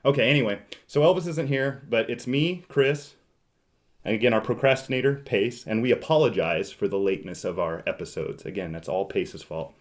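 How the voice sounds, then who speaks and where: A man's voice: mid-range voice, expressive, a little fast. A man hosting a casual board game podcast, talking in a teasing, bantering way. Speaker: podcast host.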